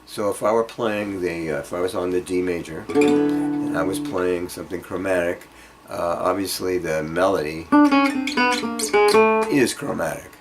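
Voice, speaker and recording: A man giving an informal guitar lesson, speaking haltingly as he thinks aloud and demonstrates. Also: sits low, low-quality recording. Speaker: guitar teacher. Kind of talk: informal instructional music lesson. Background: acoustic guitar playing.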